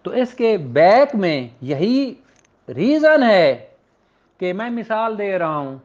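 A Pakistani man with a high-pitched voice teaches in an informal, explanatory way, as a lecturer would. The recording is dull and lo-fi. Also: big pitch swings. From Punjab, Pakistan. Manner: explanatory, switches into slow deliberate reading. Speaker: law lecturer. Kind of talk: informal lecture, explaining and reading out legal text. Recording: dull, lo-fi audio.

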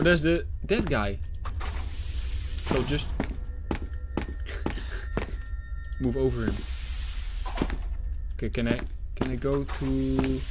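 A young man with a Dutch accent, commentating a horror game as he plays, jumpy and swearing when startled. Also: mid-range voice. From Netherlands. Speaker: gaming YouTuber.

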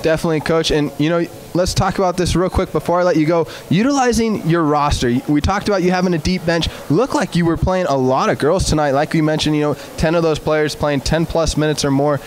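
A man's voice: fairly high pitch, brisk, hardly any pauses, slight background noise. A young man interviewing a coach after a game in an eager, upbeat way with frequent fillers. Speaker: sports interviewer. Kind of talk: postgame interview.

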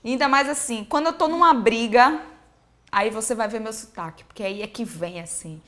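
A woman's voice: fairly high pitch, normal speed. A young woman from Pernambuco with a Pernambuco accent, chatting animatedly as an interview guest while seated on a sofa in a sunny living room. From Pernambuco, Brazil. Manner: animated, expressive, gestures while talking. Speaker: singer-songwriter guest. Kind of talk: casual interview chat.

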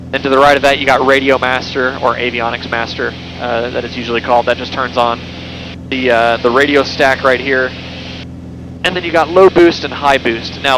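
Young male pilot over engine drone. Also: mid-range voice. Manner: relaxed, conversational, with fillers and self-corrections. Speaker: pilot giving a cockpit tour. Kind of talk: casual explanatory walkthrough. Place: small airplane cockpit in flight. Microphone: headset boom mic. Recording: engine noise through intercom. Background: steady engine drone.

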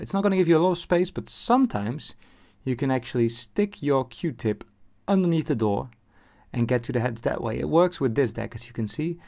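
Male how-to presenter, mid-range pitch. Casual step-by-step narration with a wry, informal touch. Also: creaky, darkish tone.

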